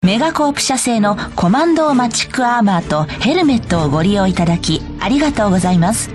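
A woman's voice: mid-range voice, lively intonation, fast talker, over music, close to an everyday voice. A woman voicing a polite, cheerful corporate guide, reading scripted tutorial narration for a game. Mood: polite, bright. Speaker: tutorial announcer.

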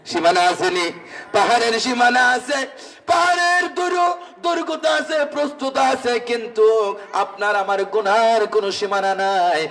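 A man preaches in a high-pitched voice, picked up from a distance over a public-address microphone. His impassioned sermon slides between speech and chant, with repeated lines and long, drawn-out exhortations. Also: fairly bright tone. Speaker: Islamic preacher. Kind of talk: impassioned religious sermon with half-sung storytelling. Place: religious gathering, amplified from a distance.

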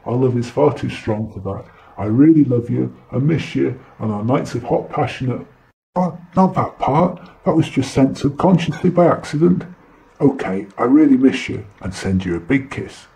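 A man acts out a vain alien telepath in a comic audio drama. He is smug and theatrical, boasting and easily sidetracked, with a mellow, relaxed tone and a creaky, gravelly edge. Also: mid-range voice, normal speed, poor audio. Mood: mock romantic. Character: vain, boastful, deep-voiced alien relaying messages telepathically.

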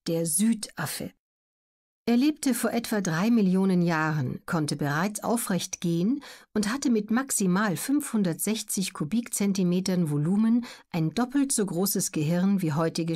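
A woman narrates an educational audiobook in a medium-pitched voice. Her reading is scripted and measured, with every word clearly articulated. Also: a little mellow.

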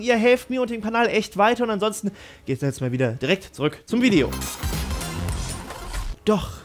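A man hosting a video, delivering energetic scripted commentary and addressing viewers directly and enthusiastically. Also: high-pitched. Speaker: YouTube host.

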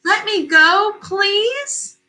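A woman voicing a skinny middle brother goat in a storybook, begging in a raised, high voice. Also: expressive, drawn-out words, pushed and bright, heavily acted. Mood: pleading.

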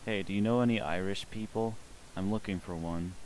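A man's voice: on the low side, brisk, darkish tone, slight background noise, rough recording. A young man streaming a social VR game, chatting casually and politely pestering the strangers he meets. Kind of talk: casual gaming commentary.

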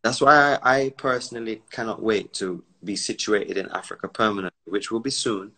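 A man's voice: fairly low pitch, brisk, sharp tone. A man from Barbados, raised in the UK, talking emphatically in a casual live video chat, lecturing at length and acting out quoted voices on a phone at home. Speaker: entrepreneur and commentator. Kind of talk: casual live-stream conversation. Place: indoors at home. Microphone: phone on a live video call.

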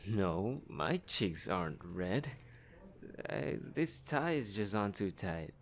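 A man's voice: lowish voice, very animated, slow pace, full character voice. A man voicing a flustered, defensive male coworker in an ASMR roleplay. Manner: defensive, huffy denials.

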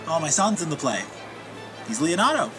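A genial male academic played by a man in an audio drama. His voice is a touch high with lively intonation, set over clear music. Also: normal speed. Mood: friendly, puzzled. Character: genial academic doctor, a father.

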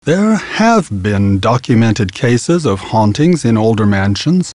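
An actor playing a pompous, scholarly antique dealer in a video game, formal and theatrical. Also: male voice, on the low side, very animated, slow, darkish tone, dry recording. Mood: smug, formal.

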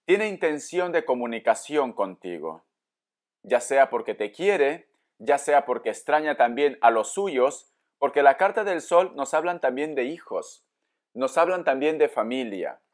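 A man's voice: medium pitch, sing-song, a little slow. A man giving an informal tarot reading straight to viewers, explaining as he goes and answering his own questions. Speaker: tarot reader, host. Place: indoor room with books at a table.

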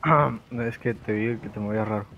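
A man's voice: on the low side, fairly quick, sharp tone, dull audio. A man chatting casually and expressively in slang as he plays a game with others on voice chat. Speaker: gamer in a group play session. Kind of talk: casual chat while gaming. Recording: clearly noisy voice chat recording.

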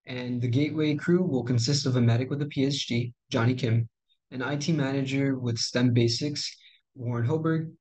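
A man's voice: mid-range voice, fairly even intonation, normal speed, darkish tone, poor audio. A teenage boy from Texas reading a formal school presentation over a video call. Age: teens. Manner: formal, reads from a script. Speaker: student presenter.

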